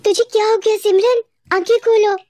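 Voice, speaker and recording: A woman's voice: extremely high pitch, steady tone, normal speed, warm tone, pitched above the performer's own voice. A woman voicing a cautious, protective teenage schoolgirl in a cartoon story, earnest and admonishing. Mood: worried.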